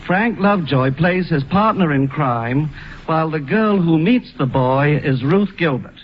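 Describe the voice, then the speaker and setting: A man's voice: fairly high pitch, lively intonation, measured pace. A middle-aged man giving a formal, scripted introduction to a play in 1940s radio style. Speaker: theatrical producer introducing the play. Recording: dull vintage radio, faint noise.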